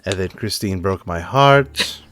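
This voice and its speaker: A man's voice: sits low, expressive, a little slow, a bit bright, pitched below the performer's own voice. A man playing an earnest, naive, heartbroken young nobleman in a tabletop game, speaking in a formal, theatrical way. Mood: forlorn.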